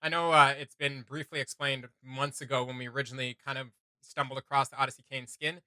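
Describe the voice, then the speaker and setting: Bright, forceful man chatting casually. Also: mid-range voice, little pitch movement, quick pace, dry recording. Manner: rambling, thinking aloud. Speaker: reaction video host. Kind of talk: casual reaction chat.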